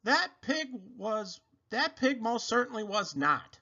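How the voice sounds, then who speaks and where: Guy doing a self important cop, emphatic, high and swoopy, storybook voice. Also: very animated, slow pace, pushed and bright, muffled recording, full character voice. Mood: officious. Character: pompous, officious policeman who answers himself.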